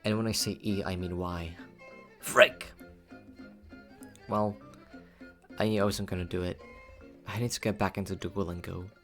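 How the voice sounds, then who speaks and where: A man rambles casually to his livestream chat. He is playful and cutesy, often repeating his phrases, with music playing clearly behind him. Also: low-pitched, level tone, a little slow, long pauses, fairly bright tone. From Philippines. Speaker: VTuber livestreamer.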